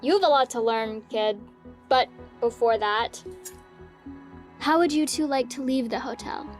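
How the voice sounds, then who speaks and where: A woman as a high-voiced, wry seasoned mentor. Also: measured pace, faint music behind, natural voice. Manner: assured, blunt, dry. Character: calm, wry, seasoned female spirit-wielding mentor. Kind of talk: dramatic staged reading.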